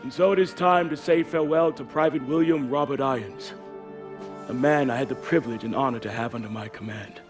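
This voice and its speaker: A man plays a commanding officer in a game cutscene. His mid-pitched voice gives a formal, solemn eulogy. Music is clearly audible underneath. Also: steady tone, a little fast, natural voice. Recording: music behind.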